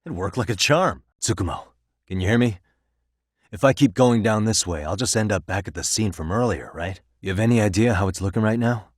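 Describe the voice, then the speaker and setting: Low-voiced American man, acting a cool detective. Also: a little fast, dry recording, studio quality, natural voice. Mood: focused, casual. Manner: casual, wry, conversational. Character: cool, determined male private detective, streetwise and principled. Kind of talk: video game voice acting, naturalistic dialogue.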